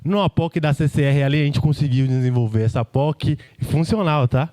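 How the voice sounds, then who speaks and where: A man's voice: on the high side, moderate pace, mellow, no room sound. A Brazilian man giving an informal, jokey tech meetup presentation in colloquial speech full of tag questions. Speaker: engineering team speaker.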